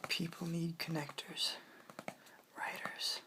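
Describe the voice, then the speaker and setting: A woman doing a soft-spoken ASMR poetry reading in a breathy, unhurried whisper. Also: sits low, level tone. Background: hiss, clicks from tapping and page turning.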